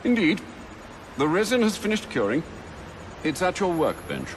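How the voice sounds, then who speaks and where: Game butler: older, British, formal, understated, expressive pitch, clear urban noise behind. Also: male voice, moderate pace. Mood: dry, resigned. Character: elderly, dryly caring, formal English butler. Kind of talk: dramatic video game cutscene acting.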